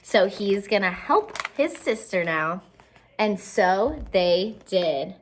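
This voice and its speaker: A woman reads a picture book aloud for young children in an expressive, teacherly way. Her voice sits at a medium pitch, and she adds simple explanations as she goes. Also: slow, a little hiss or hum.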